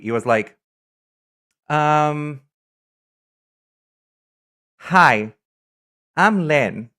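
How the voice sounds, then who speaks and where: Campy, chatty male streamer from Brazil. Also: medium pitch. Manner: chatty, campy and excitable, trails off into asides. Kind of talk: casual live-stream chat.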